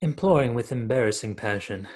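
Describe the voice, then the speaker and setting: A man's voice: medium pitch, lively intonation, brisk, a little mellow. A man in his thirties reading scripted stage directions as the narrator of a radio play, over a video call from a room at home.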